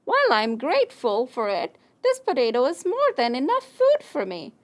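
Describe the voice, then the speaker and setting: A woman voices a sweet, generous animal in a children's puppet show. The voice is very high and swoops up and down dramatically, with a singsong, exclaiming delivery. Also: huge pitch swings, moderate pace. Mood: surprised, caring. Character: cheerful, generous female dog and kindly fox.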